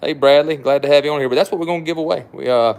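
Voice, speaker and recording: A man's voice: on the high side, very fast, creaky, darkish tone, clear, resonant tone. A man from South Mississippi with a Southern accent, hosting a casual livestream, rambling and repeating words as he reads viewer comments. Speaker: livestream host, knife shop owner.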